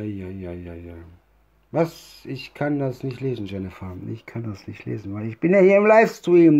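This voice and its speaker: A man's voice: medium pitch, creaky, muffled recording. A man who has a cold, joking crudely and rambling between songs during a live stream. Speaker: singer performing live. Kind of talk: casual banter between songs on a livestream. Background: loud piano and guitar.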